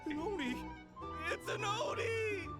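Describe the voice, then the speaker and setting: A man's voice: extremely high pitch, takes it slowly, over loud music, voice pushed up. A man voicing a pushy diner customer who yelps in surprise. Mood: brash.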